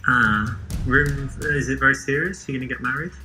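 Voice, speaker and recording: A man's voice: mid-range voice, moderate pace, soft background music. Chatty Englishman, faint London accent. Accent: mostly faded London English. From England. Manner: chatty and playful, with relaxed fillers. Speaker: dating coach demonstrating an approach. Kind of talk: casual street conversation.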